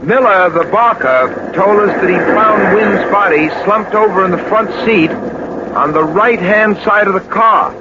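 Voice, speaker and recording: Shrewd detective captain, brisk n commanding, old radio show, muffled and really noisy. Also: male voice, sits high. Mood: intent, grave. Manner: brisk, probing, authoritative. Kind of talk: 1940s radio drama acting.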